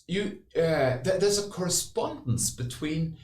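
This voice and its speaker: A man from the west coast of Norway, co-hosting a conversational podcast and talking in halting phrases full of restarts. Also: a little high, lively intonation. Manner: halting, many restarts and repeated words. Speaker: podcast co-host. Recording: roomy echo.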